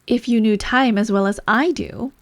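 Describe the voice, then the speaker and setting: A woman's voice: on the high side, normal speed, no echo, close to an everyday voice. A woman voicing an eccentric male hat-maker, haughty and mournful, in a storybook reading. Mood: contemptuous.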